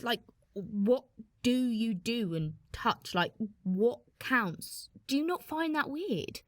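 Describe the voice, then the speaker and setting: A woman's voice: a little high, expressive, takes it slowly, no room sound, clean studio sound. A woman acting as an easily embarrassed but curious young woman, hesitant and protesting, in a naturalistic radio play. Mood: awkward curiosity.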